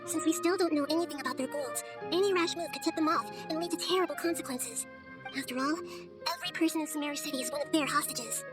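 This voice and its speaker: A woman voicing a wise, gentle little-girl god in a video game, speaking in a measured, thoughtful, articulate way. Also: high voice, over music, close to an everyday voice. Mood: earnest, thoughtful. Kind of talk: scripted video game voice acting.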